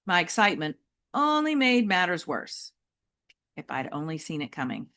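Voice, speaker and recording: A woman reading a children's novel aloud like an audiobook, with deliberate, emphatic and varied phrasing. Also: fairly low pitch, sing-song, fairly bright tone. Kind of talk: expressive audiobook-style storytelling for children.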